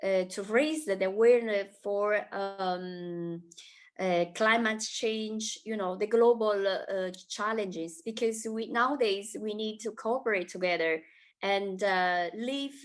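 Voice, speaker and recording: A woman from China and Italy, introducing herself formally as an interview guest, halting and searching for words. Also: mid-range voice. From born in China, raised in Italy.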